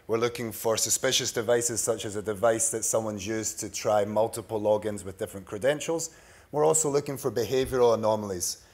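A man in his thirties with a Scottish accent, giving a prepared startup pitch at a conference. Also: darkish tone. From Scotland. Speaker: startup pitch presenter, sales executive. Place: large bright conference room, at a podium. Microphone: podium microphone.

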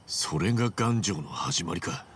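An actor playing a hot-blooded, blunt yakuza hero in a video game, with rough, casual, emphatic speech. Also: male voice, deep voice, lively intonation, unhurried, slight background noise. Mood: incredulous questioning. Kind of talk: Japanese video game cutscene acting.

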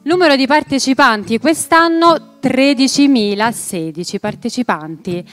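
Female awards host, 30s, raised pitch, music behind scripted delivery. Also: animated, normal speed, a bit bright. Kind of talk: scripted reading on stage. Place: theatre stage. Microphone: handheld microphone.

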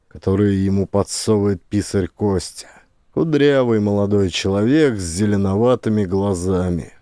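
Male actor for literary narration: deep-leaning, mellow voice, slow measured reading. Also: no room sound, clean studio sound.

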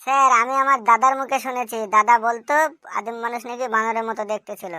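A woman voicing a cheeky schoolchild in a comic cartoon, answering in a deadpan, literal-minded, politely impudent way. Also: fairly high pitch, fast, dry recording, studio quality. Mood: earnest. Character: cheeky schoolchild, earnest-sounding classroom smart aleck. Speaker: cartoon voice actor.

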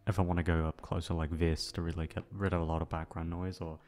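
A man with a low voice chats casually to his listeners. He rambles hesitantly, putting himself down and swearing freely. Soft guitar music plays faintly behind him. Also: little pitch movement, fast talker. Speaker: ASMR voice actor and channel host. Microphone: microphone, sitting a little back from it.

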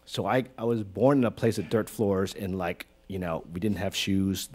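A man in his forties from Hong Kong speaks on a small auditorium stage, his voice sitting a little low. He talks animatedly, full of fillers and restarts, into a close stand mic. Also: normal speed, husky. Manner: animated, gestures broadly, many fillers. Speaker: guest, creative director. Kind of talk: onstage conversation. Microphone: stand mic close to mouth.

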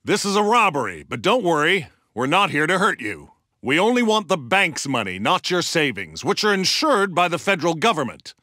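A posh-mannered theatrical villain heister in a video game, high-ish voice, super animated, dry studio sound. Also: male voice, moderate pace, no echo. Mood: suave, commanding. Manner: pompous, theatrical, crisp delivery. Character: theatrical, urbane gentleman-villain heister, courteous yet commanding. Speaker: video game character voice actor. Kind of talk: video game character voice lines, combat barks.

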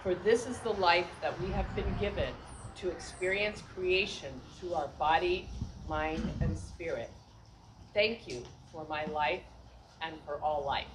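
A woman's voice: lowish voice, measured pace. An older woman reading a prayer aloud at an outdoor church service. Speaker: worship reader. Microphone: microphone on a stand. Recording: distant outdoor microphone, clear background noise.

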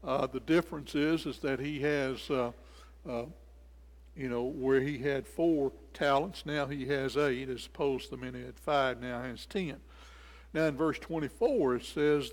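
A man's voice: mid-range voice, a little slow. An older man preaching an expository sermon, explaining scripture and repeating phrases for emphasis. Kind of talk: sermon, expository Bible teaching. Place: indoors in a church sanctuary at a lectern. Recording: reverberant church hall.

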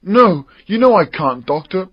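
A man's voice: high-pitched, very animated, unhurried, husky, dull audio, voice pushed up, heavily acted. A man voicing a frightened, honest boy sailor in an audiobook, speaking earnestly. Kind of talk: audiobook character acting.

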